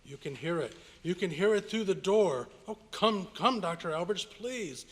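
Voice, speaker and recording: A man acts out a panicky young intern in an old radio play. The voice is very high for a man and husky, stammering and pleading with big swings of pitch in a reverberant hall. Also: animated, normal speed, a bit bright, exaggerated voice. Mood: panicked, pleading. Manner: stammering, pleading, breathless urgency. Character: frightened young male intern, deferential and panicky. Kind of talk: old-time radio drama recreation. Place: hotel convention meeting room. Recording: echoey hall, live stage performance.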